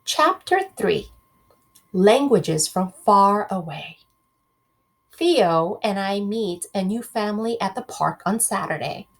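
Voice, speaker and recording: Woman, primary teacher read-aloud. Lively swooping pitch, slightly husky, unhurried, speaks straight to the children. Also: low-quality recording. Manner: expressive, addresses children directly with questions. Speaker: teacher reading aloud to a class.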